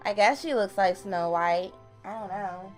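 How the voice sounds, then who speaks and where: A young woman with a deep voice, recording a casual late-night game voiceover at home on a desktop mic, chatty and self-deprecating. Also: measured pace, darkish tone, faint music behind. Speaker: gaming YouTuber. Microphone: desktop USB mic.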